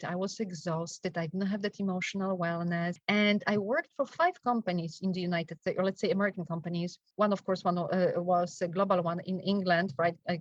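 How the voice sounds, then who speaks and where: A woman's voice: fairly low pitch, dry recording. A middle-aged woman from Poland with a Polish accent, a guest telling her story in a chatty way, laughing often and checking in with "right?". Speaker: guest, travel wellness coach. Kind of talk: casual interview chat. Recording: dull, dry lo-fi sound.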